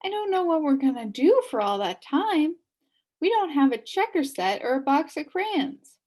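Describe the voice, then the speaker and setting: A woman's voice: sits high, sing-song, normal speed, a little mellow, voice pushed up. A woman voicing a naive, worried young boy in a storybook, earnest and plaintive. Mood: sad, worried.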